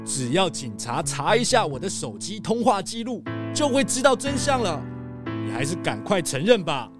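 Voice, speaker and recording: A resentful, blunt accomplice , voiced by an actor, in a children's audio drama. The grumbling voice moves widely in pitch over clear music. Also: very animated, moderate pace, close to an everyday voice. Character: resentful fox brother, the thief's accomplice.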